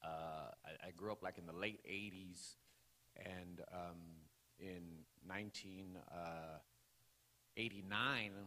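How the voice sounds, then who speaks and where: A man in his forties, from the Los Angeles area, preaching his testimony to a congregation in a church sanctuary, talking loosely with many fillers and rising into loud preaching bursts. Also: sits low. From Los Angeles area, California. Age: 40s. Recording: long hall reverb.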